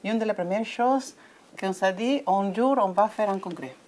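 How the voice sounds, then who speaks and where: A woman's voice: medium pitch, moderate pace, darkish tone. A woman speaking French as a second language, giving an interview at a conference in a hesitant way, with many fillers and restarts. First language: not French. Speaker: ambassador of a coaching federation.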